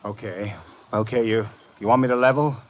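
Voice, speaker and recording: A man's voice: fairly low pitch, fairly even intonation, moderate pace, natural voice. Man playing surly swindler, muffled vintage radio. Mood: resigned. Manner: blunt, slangy tough talk. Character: surly, evasive male swindler and map forger. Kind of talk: 1950s radio mystery acting. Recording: dull vintage 1950 radio recording.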